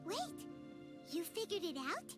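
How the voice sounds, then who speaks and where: Squeaky, excitable tiny fairy companion. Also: female voice, slow, over music, heavily acted. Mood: puzzled. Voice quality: squeaky, childlike. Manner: exclamatory, blunt, inquisitive. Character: tiny excitable childlike floating fairy companion, curious and indignant. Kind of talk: video game dialogue acting.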